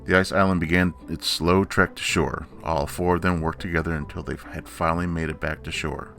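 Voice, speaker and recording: A man's voice: deep voice, steady tone, brisk, vocal fry, fairly bright tone. A man reading a children's picture book aloud as a storyteller.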